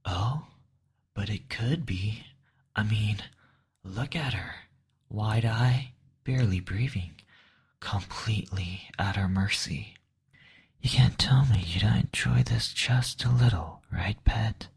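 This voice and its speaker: A man's voice: lowish voice, slow, full character voice. A man voicing a playful, flirtatious demon lord who coaxes and mocks in intimate roleplay audio. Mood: playful, coaxing. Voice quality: breathy, whispery. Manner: coaxing, flirtatious, mocking.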